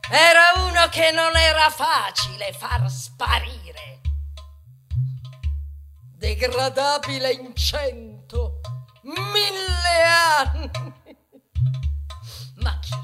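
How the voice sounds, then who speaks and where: An actress playing a vain, grandiose plastic bag, tragic and nostalgic, in a declamatory theatrical monologue. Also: female voice, high-pitched, big pitch swings, extremely slow, pauses often, bright, forceful tone, soft background music, exaggerated voice. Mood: nostalgic, exalted. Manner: declamatory, swinging from whispers to cries.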